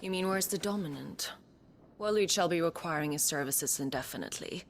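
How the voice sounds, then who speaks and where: A woman's voice: low voice, fairly quick, a little hiss or hum. A haughty scheming noblewoman. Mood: seductive. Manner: haughty and mocking. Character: proud scheming noblewoman, cold and bitter. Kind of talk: game cutscene acting.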